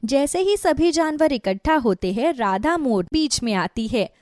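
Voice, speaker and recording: A woman narrator reads a scripted children's story. Her voice is fairly high and very animated. The recording is dry. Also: a little slow, no echo.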